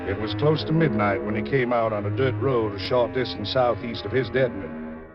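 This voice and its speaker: A man's voice: lowish voice, a little fast, loud music behind the voice, close to an everyday voice. A man with an American accent giving intense, theatrical scripted narration in a 1940s radio drama style. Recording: dull old 1940s radio recording.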